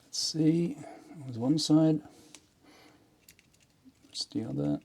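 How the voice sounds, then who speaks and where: A man's voice: fairly high pitch, sing-song, slow, warm tone. A man giving a casual hands-on demonstration, thinking aloud hesitantly as he works. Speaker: host of an electronics and ham radio build video.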